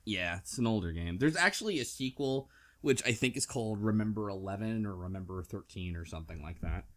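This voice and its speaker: A man with a fairly low voice hosts an informal podcast discussion. His delivery is easygoing and conversational, with some hesitation as he gathers his thoughts. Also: lively intonation, fairly quick, fairly bright tone. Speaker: podcast host. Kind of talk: casual book club discussion.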